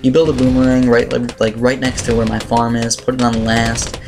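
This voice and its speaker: A man with a slightly raspy voice giving casual, rambling game commentary as he explains strategy. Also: mid-range voice, level tone, normal speed, background noise. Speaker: gaming video host. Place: voice-over on screen-recorded gameplay. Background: music.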